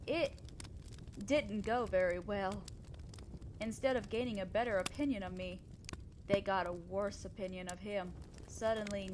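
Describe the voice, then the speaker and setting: A fairly high female voice over some background noise , an actress in role as a kind centaur wanderer. She talks straight to the listener in an audio roleplay. Her delivery is earnest and gentle, with the hesitance of someone selfless who doubts herself. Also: close to an everyday voice. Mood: ashamed, regretful.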